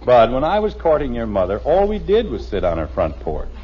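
A man's voice: mid-range voice, wildly swooping pitch, brisk. An American actor playing a wry, exasperated middle-aged father in a 1950s radio sitcom, with dry, teasing sarcasm. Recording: muffled, noisy old radio broadcast recording.

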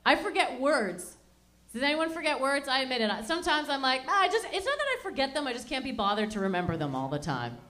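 Canadian lady in her 30s doing standup, high voice, chatty and rambly, handheld mic in a small club. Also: very animated, quick pace, sharp tone. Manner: chatty, rambling, self-mocking. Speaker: stand-up comedian and MC. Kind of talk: stand-up comedy set. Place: small dark lounge with a live audience.

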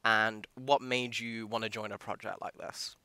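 A man's voice: on the low side, steady tone, normal speed, pushed and bright, clean tone, dry recording. A man hosting a podcast, interviewing in a casual, chatty style.

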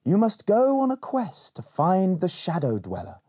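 A solemn ancient storybook elf sage, played by a man. The delivery is portentous and commanding, in a raised, husky voice. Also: sits high, huge pitch swings, measured pace, darkish tone, voice pushed up, full character voice.

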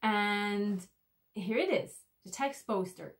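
A woman in her thirties presenting an instructional tutorial to camera, explaining step by step in a deliberate, slightly hesitant way. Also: medium pitch. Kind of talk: instructional screencast walk-through to camera.

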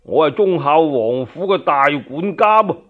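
A man with a Cantonese accent playing a nonchalant, fearless household steward in a radio story, unhurried and offhand. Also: on the high side, animated. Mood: calmly defiant. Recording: dull lo-fi radio recording.

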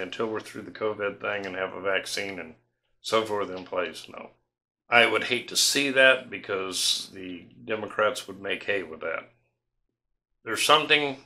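An older man from Tennessee talks straight to camera from a small home studio, rambling in a folksy, suspicious way and tossing in fillers as he goes. His voice sits a little low, with a very bright, forceful edge. He speaks into a desk mic in an indoor room. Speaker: homestead vlog host. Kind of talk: casual opinion talk to camera.

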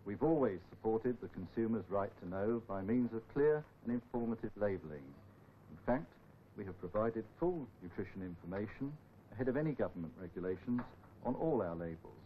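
Middle-aged male company expert, lowish voice, scripted delivery, dull film audio, slight background noise. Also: lively intonation, fairly quick. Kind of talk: scripted presentation to camera. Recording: dull old film sound, faint noise.

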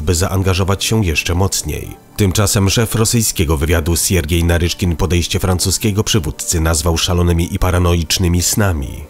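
Deep-voiced male news narrator. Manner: even, measured reading. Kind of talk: scripted news voice-over. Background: faint background music.

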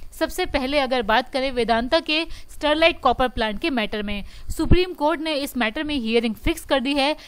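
A woman presenting stock market news as a voice-over, explaining each update in a steady way and mixing English terms into her speech. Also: sits high. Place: voice-over over screen recording.

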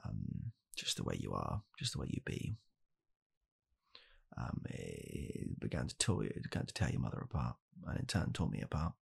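A young man playing a blunt, callous father in an ASMR comedy roleplay, speaking softly and haltingly in a deadpan way. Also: extremely low pitch, creaky, husky, voice pushed down, heavily acted. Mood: deadpan, bleak. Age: young adult. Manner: soft-spoken, halting, deadpan. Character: blunt, callous father calmly blaming his child. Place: small home room, indoors.

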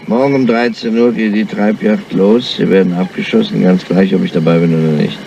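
Gravelly, grumpy police inspector. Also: male voice, lowish voice, steady tone, brisk, dark tone. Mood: firm, bargaining. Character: grumpy, crafty, weary male police inspector. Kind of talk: 1970s German crime radio drama acting. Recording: noisy, dull old radio drama recording.

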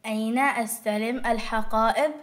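A woman's voice: on the high side, steady tone, very fast. A woman speaking short words and phrases one at a time for learners to repeat. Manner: short, carefully spoken single words and phrases. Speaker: language teacher pronouncing words. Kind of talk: model word pronunciation for learners.

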